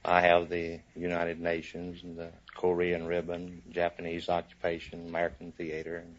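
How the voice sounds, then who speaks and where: Male sailor contestant, fairly deep voice, folksy and casual, muffled vintage radio sound. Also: monotone, moderate pace. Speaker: navy sailor, show contestant. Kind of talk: casual interview answers. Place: studio in front of audience. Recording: noisy muffled 1950s radio broadcast recording.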